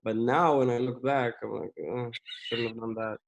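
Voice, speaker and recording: A man, a company co-founder, explaining his business in a relaxed, casual interview. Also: mid-range voice, normal speed, creaky.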